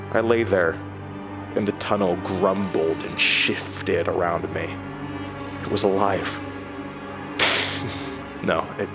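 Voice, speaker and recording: Guy acting low voiced haunted man telling his horror story, halting and brooding, music behind him. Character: frightened, introspective man narrating his horror ordeal. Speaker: narrator and lead character. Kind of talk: first-person horror audio drama narration. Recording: music under the voice. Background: prominent music.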